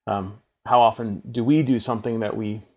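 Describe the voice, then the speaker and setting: A man in a casual chat about worship songs, speaking in a thoughtful, reflective way with many filler pauses. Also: on the low side, fairly even intonation.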